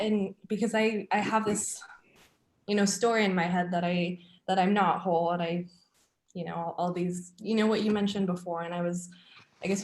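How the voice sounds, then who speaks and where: Woman from Los Angeles, mid-range voice, echoey room, halting and emotional video-call question. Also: medium pitch. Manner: hesitant, emotional, many ums. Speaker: caller.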